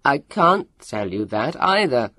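A man's voice: mid-range voice, sing-song, slow pace, bright, forceful tone, heavily acted. A man voicing a tall, brawny young student, a frightened captive who pleads and babbles in an audiobook. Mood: frightened babbling. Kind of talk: audiobook character voice.

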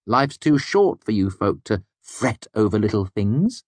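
A man voicing a sly fey helper who swings between low, fierce urging and breezy cheer. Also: sing-song, unhurried, a little mellow, no echo.

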